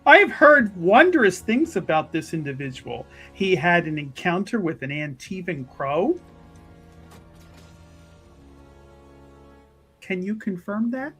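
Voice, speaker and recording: A woman playing an inquisitive, easily astonished official in an improvised tabletop roleplay, speaking haltingly and dramatically. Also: lowish voice, very animated, a bit bright, faint music behind. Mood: curious. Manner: halting, dramatic, repeats words for emphasis.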